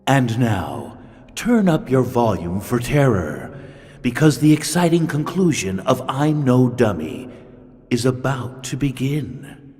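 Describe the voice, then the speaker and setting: A man announcing a horror audio show in a theatrical, deliberate, scripted style. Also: mid-range voice, big pitch swings, warm tone, big-room sound. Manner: dramatic, deliberate.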